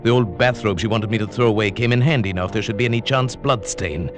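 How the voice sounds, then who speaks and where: An actor playing a scheming professor who narrates his own wife-murder, in a smooth, quietly gloating 1940s radio drama style. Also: lowish voice, fast talker, natural voice. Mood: cold narration. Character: scheming middle-aged male professor, cold wife-murderer. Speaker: narrator and lead character. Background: music.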